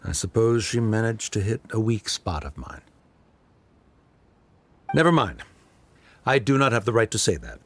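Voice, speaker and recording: A man's voice: fairly low pitch, expressive, moderate pace, pauses often. A man playing a stern, middle-aged scholar father in a game, speaking formally and with restraint. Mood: reserved.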